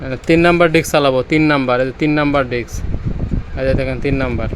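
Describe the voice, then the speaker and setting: A man's voice: mid-range voice, racing, music in the background, rough recording. A man with a Bangladeshi accent giving a casual, repetitive sales demonstration of audio equipment.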